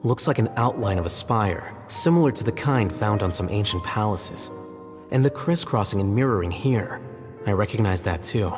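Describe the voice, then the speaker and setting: A man voicing a cool, reserved young scholar in a video game, with calm, measured and dry delivery. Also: on the low side, lightly acted. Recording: reverberant game scene with faint music.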